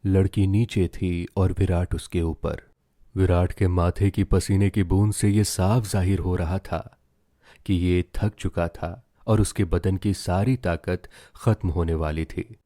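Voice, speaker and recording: A man's voice: low-pitched, steady tone, unhurried, warm tone, dry recording, studio quality. A man narrating a scripted story as a dramatic storyteller.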